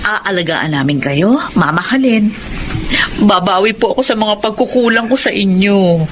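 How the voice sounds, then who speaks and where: A woman's voice: medium pitch, moderate pace, noisy background, natural voice. An actress playing a remorseful middle-aged mother in an emotional radio drama, coaxing and emotive. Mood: coaxing, tender.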